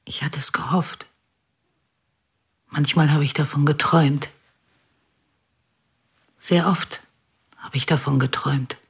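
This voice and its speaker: An actress playing a subdued, longing middle-aged mother in a radio drama, breathy and hesitant. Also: sits low, steady tone, slow, long pauses, heavily acted. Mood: stunned, longing. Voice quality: breathy, husky. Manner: hushed, hesitant. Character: subdued, longing mother welcoming grown children home. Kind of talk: naturalistic radio drama dialogue.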